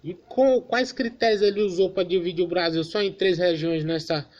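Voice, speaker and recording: Male student teacher, relaxed and chatty, slightly high voice, muffled lo-fi recording. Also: moderate pace. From Maranhão, Brazil. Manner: informal, addresses class as "galera", self-correcting. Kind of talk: online geography class.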